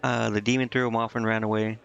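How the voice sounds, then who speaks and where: A man's voice: fairly low pitch, little pitch movement, fast, gravelly, a bit bright, dull audio, soft background music. A man giving casual, off-the-cuff gameplay commentary as a streamer, slangy and irreverent. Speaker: video game streamer.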